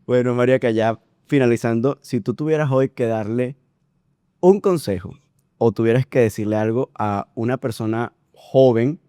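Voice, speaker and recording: A man's voice: medium pitch, normal speed, mellow, clean tone, dry recording, studio quality. A man hosting a podcast, asking long, rambling questions in casual talk. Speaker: podcast host. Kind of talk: casual interview.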